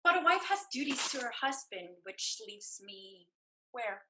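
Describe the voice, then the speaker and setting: A woman's voice: on the high side, big pitch swings, measured pace, background noise. A woman playing an anxious, stubborn sister who protests earnestly and dramatically in a staged play reading. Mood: fearful, reluctant.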